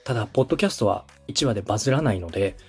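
A man's voice: on the low side, animated, rapid-fire, darkish tone, husky, soft background music. A man from Osaka speaking as a podcast host, explaining things step by step in a polite, instructive way. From Osaka, Japan. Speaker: podcast host and coach, radio director. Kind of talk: consulting conversation, explaining step by step.

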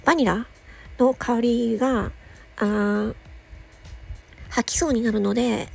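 A woman hosting a casual solo audio show, chatting playfully with self-mocking asides and a husky, slightly creaky voice. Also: a little high, steady tone, takes it slowly, darkish tone, faint music behind.

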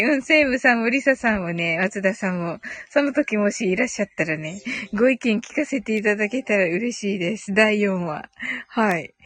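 Giggly woman hosting a casual live chat, kinda high voice, really swoopy pitch, thinks out loud. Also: no room sound. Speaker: live audio show host.

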